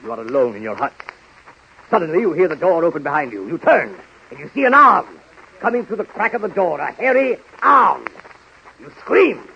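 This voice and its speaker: A man's voice: on the high side, big pitch swings, normal speed, creaky, bright, forceful tone, full character voice. An actor playing an exasperated film director, straining for patience as he spells out instructions in 1930s radio comedy style. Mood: coaxing, weary. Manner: emphatic, spelled-out coaxing instructions. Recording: noisy, muffled 1930s radio recording.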